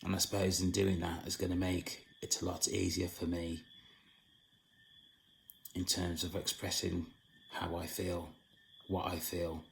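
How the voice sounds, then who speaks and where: A man with a deep, heavily gravelly voice that has gone hoarse. He talks slowly in a flat, solemn tone, with long halting pauses between phrases, like a host working through a spiritual talk. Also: slight background noise, low-quality recording. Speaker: channel host. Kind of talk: spiritual monologue.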